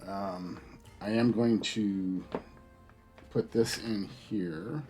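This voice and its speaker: Middle-aged male hobbyist host, stuffy from a cold, low voice, relaxed and chatty, faint music underneath. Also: very slow. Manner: relaxed, chatty, thinking aloud while crafting. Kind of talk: casual chat while building a model.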